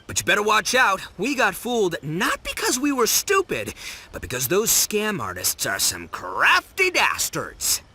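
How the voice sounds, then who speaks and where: A man's voice: sits high, wildly swooping pitch, a little slow, pushed and bright, a little hiss or hum, full character voice. A man voicing a brash, dim but big-hearted young gang boss in a video game, bombastic and slangy. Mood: upbeat, sincere. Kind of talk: animated video game voice acting.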